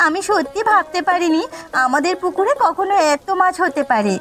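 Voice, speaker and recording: A woman plays a supportive but anxious village wife in a cartoon folk tale. The voice is extremely high and earnest, given to exclaiming. Faint music plays underneath. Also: expressive, brisk, a bit bright. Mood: amazed. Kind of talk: Bengali cartoon dialogue acting.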